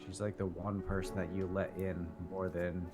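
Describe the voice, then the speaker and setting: A man's voice: sits low, level tone, moderate pace, over music, natural voice. A man playing a probing confidant in improvised roleplay, rephrasing his questions as he goes. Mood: probing, calm. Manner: probing, often rephrasing himself. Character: probing male confidant questioning a prisoner about his feelings.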